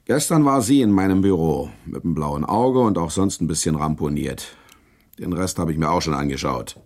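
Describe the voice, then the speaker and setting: Deep, gravelly needling prosecutor. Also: male voice, expressive, moderate pace, darkish tone. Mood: accusing, pressing. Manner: pressing, sardonic interrogation. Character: shrewd, needling male public prosecutor, hard-boiled. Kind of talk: German radio drama dialogue.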